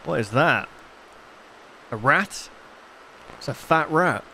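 A man's voice: on the high side, lively intonation, takes it slowly, vocal fry, sharp tone, low-quality recording. Young man casually commentating, slight background noise. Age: 20s. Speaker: reaction video host. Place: bedroom gaming setup, indoors. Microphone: close desk microphone.

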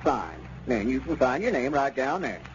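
An actor playing a sly, unctuous small-town squire who talks in a hesitant, roundabout way in radio comedy. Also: male voice, mid-range voice, sing-song, normal speed, close to an everyday voice. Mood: wheedling. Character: wheedling small-town squire, sly real-estate dealer. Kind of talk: 1930s radio comedy acting. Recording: dull, noisy old radio recording.

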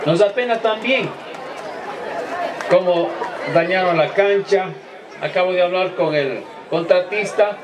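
A man's voice: sits high, a little slow, pauses often, distant mic, muffled recording. A middle-aged man giving an official public speech into a handheld mic on an outdoor stage. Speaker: mayor. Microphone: handheld microphone. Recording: echoey outdoor PA, loud crowd noise.

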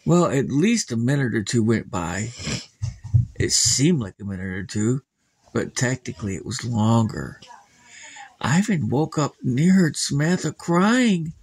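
A man's voice: mid-range voice, lively intonation, a little slow, husky, no room sound. A man telling an improvised scary bedtime story as storyteller, in a folksy, plain-spoken manner.

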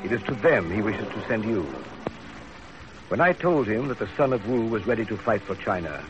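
An actor playing a dignified, authoritative older Chinese father in a 1930s radio drama, formal and deliberate. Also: lowish voice, expressive, background noise, close to an everyday voice. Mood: grave. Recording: dull old radio recording.

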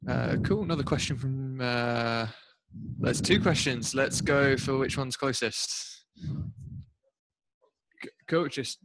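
Slow relaxed man hosting the Q&A. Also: mid-range voice, no echo, rough recording. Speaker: meetup host taking questions.

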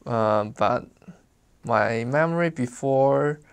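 A young man from China with a Chinese accent, speaking English as a second language in an interview about his childhood, halting and searching for words, laughing easily. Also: medium pitch, creaky, clear, resonant tone. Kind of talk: casual life-story interview.

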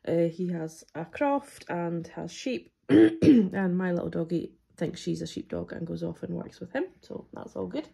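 Husky, chesty Scottish woman rambling. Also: on the low side, a little slow, dark tone. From Scotland. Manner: rambling, friendly, many ums. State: chesty, coming down with a cold. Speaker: budgeting vlogger. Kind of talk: casual chatty to-camera talk. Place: at a wooden table indoors.

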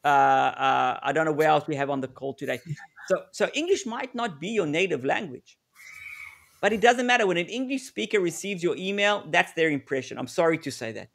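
A man's voice: fairly high pitch, animated, a little fast, vocal fry, a bit bright. A middle-aged man from South Africa presenting a live webinar over a video call, talking conversationally, repeating words as he thinks and acting out sample exchanges. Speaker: negotiation consultant presenting a webinar.

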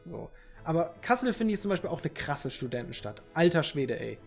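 A man's voice: on the high side, sing-song, brisk, vocal fry, a little mellow, faint music behind. A man, chatting casually and jokingly with his stream viewers through a headset in a room at home. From Northern Germany. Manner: loose and joking, reads chat aloud, slangy.

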